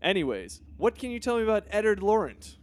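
A man's voice: high voice, big pitch swings, fairly quick. A man playing a vain, self-pitying teenage boy superhero in a comedy sketch, talking in a pompous, oblivious way. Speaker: Bruce Wayne Jr.

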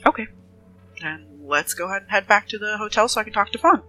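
No-nonsense detective played by a woman, bright forceful tone, firm, insistent, suspicious, tabletop roleplay with music behind. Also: medium pitch, lively intonation, quick pace, voice pushed up. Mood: firm, businesslike.

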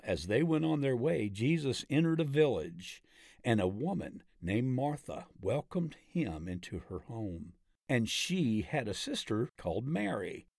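A low-pitched man narrates a devotional text in a deliberate, measured manner. His pitch moves expressively as he leans on key phrases, and the pace stays very unhurried. The sound is dry and close, like an audiobook. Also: a little mellow, dry recording.

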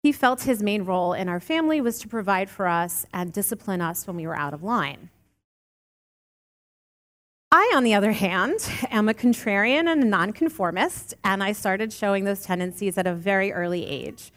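A woman in her late thirties giving a sermon, earnest and warm with touches of light humor. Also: mid-range voice, expressive, moderate pace. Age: late 30s. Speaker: lay preacher. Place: church sanctuary. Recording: long church reverb.

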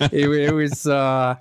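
A man's voice: fairly high pitch, clear, resonant tone, dry recording. A man in his thirties, born in the Czech Republic, chatting as a musician guest in an interview, rambling through stories with many restarts and fillers. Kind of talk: casual interview chat.

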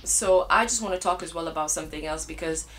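A woman's voice: low-pitched, fast talker, a bit bright, faint music behind. A young woman from Cameroon co-hosting a casual talk show, speaking emphatically and throwing out rhetorical questions. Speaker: podcast co-host. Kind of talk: casual chat.

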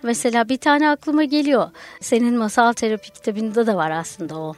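Bright-voiced woman guest, lively explainer. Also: fairly high pitch, a little fast. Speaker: guest, folklore researcher. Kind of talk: radio conversation. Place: radio studio.

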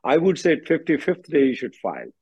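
A husky-voiced man giving direct, instructive legal advice as the attorney hosting a question-and-answer call. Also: on the high side, wildly swooping pitch, a little fast, no echo. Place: conference call, close dry sound. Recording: dull, muffled conference-call audio.

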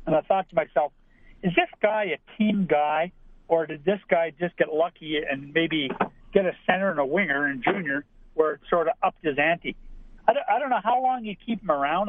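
High-pitched man on phone. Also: no room sound. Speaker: phone-in caller. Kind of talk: casual anecdote and opinion. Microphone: telephone. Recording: thin narrowband phone line.

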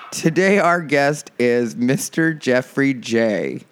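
A man with a nasal voice hosting a casual podcast, chatty and quick to laugh, acting out what others said. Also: mid-range voice, clean tone. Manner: chatty, laughs often, animated retelling. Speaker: podcast host. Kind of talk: casual chat.

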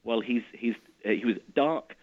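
A man's voice: mid-range voice, normal speed, narrow, tinny audio. A middle-aged man from Iraq, a physicist guest, explaining things in an interview and often restarting and repeating words.

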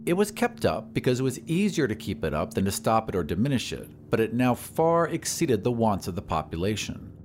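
A man narrating a story in a deliberate, literary, dramatic scripted style. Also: lowish voice, big pitch swings, slight background noise. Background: music.

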